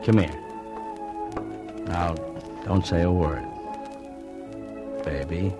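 An actor playing a scheming, manipulative small-time crook in a vintage radio drama, talking in a casual, coaxing, controlled manner. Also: male voice, deep voice, extremely slow, music in the background. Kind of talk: 1950s radio drama acting.

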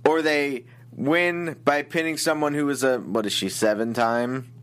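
A man's voice: medium pitch, fairly even intonation, measured pace, a bit bright, clear, resonant tone. Man ranting about wrestling booking. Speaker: wrestling recap co-host. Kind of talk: casual opinionated chat.

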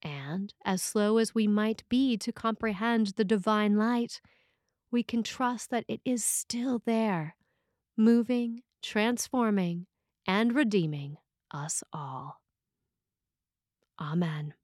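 A woman priest reading a scripted sermon aloud in a measured, expressive way with deliberate phrasing. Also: mid-range voice, no echo, studio quality.

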